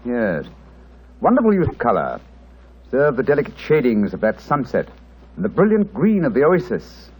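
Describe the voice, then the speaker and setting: An actor playing a brisk, analytical English gentleman detective with a British accent, clipped and precise, in an old radio drama. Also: mid-range voice, wildly swooping pitch, slightly rough, rough recording. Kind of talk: 1940s radio drama acting. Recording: vintage 1940s radio recording.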